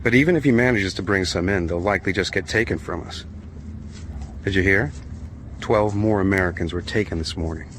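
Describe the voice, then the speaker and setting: An actor playing a weary, steady captured American sailor in a radio drama, speaking in a measured way. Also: male voice, low voice, slight background noise. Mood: weary, calm.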